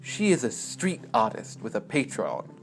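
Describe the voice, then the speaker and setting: A man's voice: medium pitch, huge pitch swings, unhurried. A man storyteller narrating a story as if describing scenes from a film. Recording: clear music behind the voice. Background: music.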